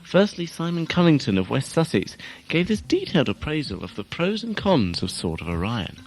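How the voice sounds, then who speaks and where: A man's voice: a little high, sing-song, moderate pace, faint music behind. A man presenting a scripted magazine programme as host.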